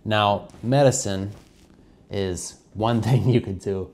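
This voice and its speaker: Congested man, low voice. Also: slow pace, a little mellow. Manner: chatty, keeps addressing viewers as guys. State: congested with a cold. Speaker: English teacher vlogger. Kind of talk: casual explanatory vlog to learners.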